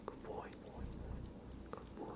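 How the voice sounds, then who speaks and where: A man whispering softly and casually as he hosts an ASMR livestream, in a hushed, unhurried manner.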